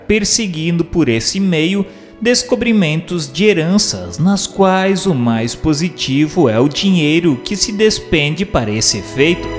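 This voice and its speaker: A man reading a script as narrator and questioner, in a formal, declamatory way. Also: fairly high pitch. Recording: long hall reverb. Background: faint music.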